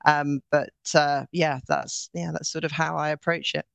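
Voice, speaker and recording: An energetic middle-aged woman from Norway speaks as an interview guest with a bright, sharp tone. Her speech is crisp and clear, recorded dry and close. She restarts her sentences often but stays upbeat. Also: low-pitched, normal speed, dry recording. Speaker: guest, chief executive. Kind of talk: casual interview talk.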